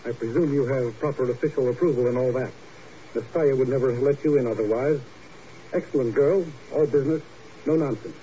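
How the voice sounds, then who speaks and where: An actor playing a brisk, self-assured, dismissive scientist doctor in a 1950s radio drama, with clipped and pompous formal delivery. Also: male voice, mid-range voice, dark tone, close to an everyday voice. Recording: muffled, faintly noisy 1950s radio.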